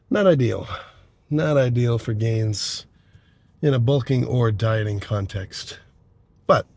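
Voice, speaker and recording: A young man with a stuffy, congested voice, talking casually to camera about training, swearing freely and clearing his throat often. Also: medium pitch, darkish tone. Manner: laid-back, rambling, swears freely, frequent throat clearing. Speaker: bodybuilding vlogger. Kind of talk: casual vlog talk to camera.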